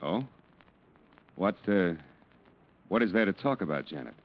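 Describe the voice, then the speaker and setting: A courteous dealer in an old radio mystery. His voice is low with lively inflection and a tentative manner. The recording sounds dull and vintage. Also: a little slow, heavily acted. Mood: wary. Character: polite, hesitant businessman, a manuscript dealer. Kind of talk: 1940s radio acting.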